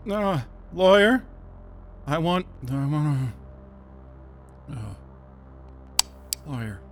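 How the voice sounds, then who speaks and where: An actor in an audio drama playing a drugged male captive under brainwashing, with a halting, dazed, suggestible delivery. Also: on the high side, sing-song, faint music behind, heavily acted. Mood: groggy, confused.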